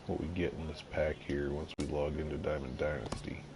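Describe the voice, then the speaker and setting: A man casually commenting on his gameplay, slightly nasal from allergies, halting with lots of fillers. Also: little pitch movement. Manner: halting, many fillers, unhurried and low-key. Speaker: gaming video creator. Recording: audible background noise.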